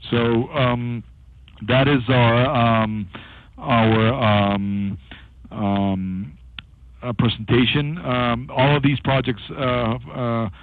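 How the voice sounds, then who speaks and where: A man presenting as a company executive on a conference call, speaking haltingly with frequent long filled pauses over a telephone. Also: medium pitch, fairly even intonation. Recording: thin, narrow-band telephone conference line.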